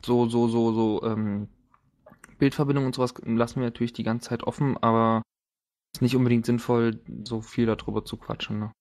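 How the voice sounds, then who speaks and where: A man's voice: medium pitch, little pitch movement, fairly quick, a little mellow. A man chatting casually during a tabletop role-playing session, colloquial and joking in his way of talking.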